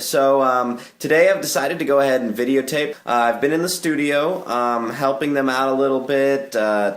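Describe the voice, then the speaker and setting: A young man talking off the cuff in a casual travel vlog, with a goofy, playful manner. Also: mid-range voice. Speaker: vlogger.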